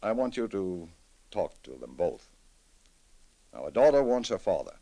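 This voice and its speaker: Grave, deep-voiced, expressive radio judge. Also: male voice, exaggerated voice. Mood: solemn, deliberate. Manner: measured, deliberate, dramatic. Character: grave, reflective male judge with a troubled conscience. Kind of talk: old-time radio drama acting.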